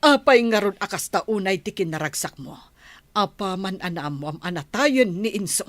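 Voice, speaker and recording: A woman's voice: medium pitch, lively intonation, normal speed, dry recording, natural voice. A woman playing a reproachful, pleading village woman with a conscience in an Ilocano radio drama.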